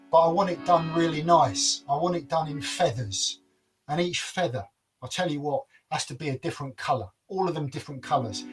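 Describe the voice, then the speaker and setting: A man's voice: fairly high pitch, close to an everyday voice, rough recording. A man voicing a blustering, grudging giant in an oral fairy tale, with an informal, haggling manner. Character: blustering, grudging male giant, father, bargain-breaker.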